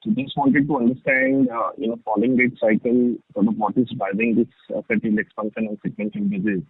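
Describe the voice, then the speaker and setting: A man's voice: medium pitch, very animated, a little fast, creaky, husky. A man asking questions as an analyst caller on an earnings call, over a muffled phone line.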